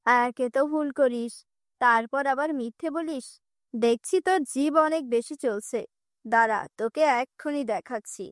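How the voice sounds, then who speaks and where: A woman's voice: fairly high pitch, moderate pace, dry recording, clean studio sound, voice pushed down, natural voice. A woman voicing a cruel middle-aged stepmother in a cartoon fairy tale, harsh and scolding. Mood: angry.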